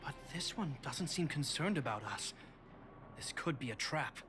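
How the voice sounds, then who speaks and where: A man voicing a protective young soldier in a video game, earnest and measured, with a reassuring manner. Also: on the high side, lively intonation, natural voice. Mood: alert, steady. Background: game music.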